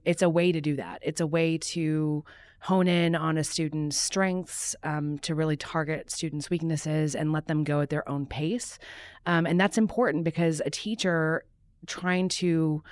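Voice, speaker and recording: A woman's voice: low voice, clean tone, no echo. A woman guest on an education podcast, explaining earnestly with frequent fillers. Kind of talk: casual podcast interview.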